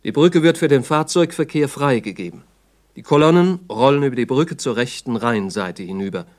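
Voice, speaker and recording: A male narrator with a medium-pitched voice reads scripted, documentary-style radio drama. His delivery is sober and factual, like an official report from the 1960s. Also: lively intonation, moderate pace, darkish tone.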